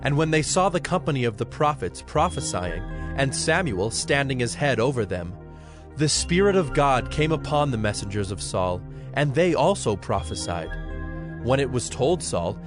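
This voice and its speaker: A man's voice: mid-range voice, big pitch swings, moderate pace. A man narrating scripture from a script as the narrator of a dramatized audio production. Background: music under the voice.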